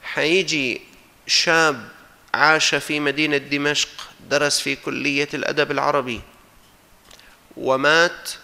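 Bright-voiced Syrian man preaching. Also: fairly high pitch, little pitch movement, unhurried, creaky, long pauses. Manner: emphatic, exhorting, with rhetorical repetition. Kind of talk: religious sermon to an audience, mixing formal and colloquial speech.

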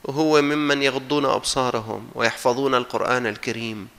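A man's voice: medium pitch, little pitch movement, fast, gravelly, fairly bright tone. A man with a Syrian accent giving a religious sermon, exhorting his listeners emphatically and mixing formal with colloquial speech. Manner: emphatic, exhorting, with rhetorical repetition. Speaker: preacher.

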